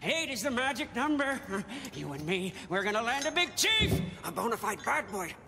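An actor playing a zealous, fawning old mechanic who worships cars, in a video game cutscene. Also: male voice, moderate pace, vocal fry, a little hiss or hum, full character voice. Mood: ecstatic. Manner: shrill, fervent, fawning, prone to excited outbursts. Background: loud game score music.

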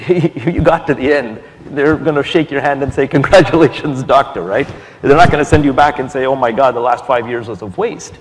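A man on a panel discussing software development, thoughtful and dotted with fillers and restarts. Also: medium pitch, fairly quick, few pauses. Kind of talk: conference panel answer. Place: large conference hall stage. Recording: long hall reverb, faint noise.

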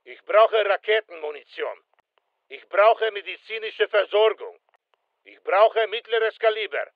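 A man's voice: sits high, lively intonation, measured pace, dry recording, phone-quality sound. A male actor playing a terse special forces soldier in a military shooter game, giving clipped, commanding callouts. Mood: terse, businesslike.

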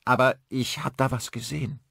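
A man's voice: medium pitch, steady tone, a little fast, gravelly, a bit bright, husky, no echo, clean studio sound, voice pushed up. A man voicing a frightened, sarcastic, shaken wife in an audiobook, emotional and emphatic. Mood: shaken, confiding. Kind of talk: dramatised audiobook dialogue.

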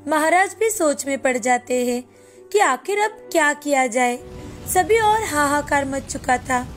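A woman reading scripted narration for an animated children's tale. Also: high voice.

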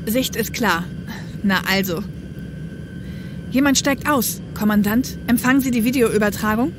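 A woman's voice: fairly high pitch, a little slow, noisy background. A woman playing a tense police helicopter copilot in a German audio drama, with echo on the voice. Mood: focused. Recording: echoey sound with music behind.

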